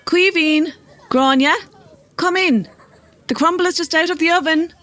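A woman's voice: very high voice, unhurried, fairly bright tone. A woman playing a kindly but firm Irish grandmother in a children's audio drama. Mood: cheerful.

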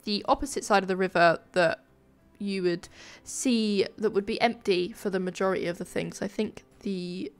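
A woman narrates a gaming video in a casual, self-effacing way. Her mid-pitched voice is nasal and a little flat, as she is ill with a sore throat. She thinks aloud informally as she goes. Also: moderate pace, few pauses, soft background music. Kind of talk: casual speed-build commentary, chatty voice-over.

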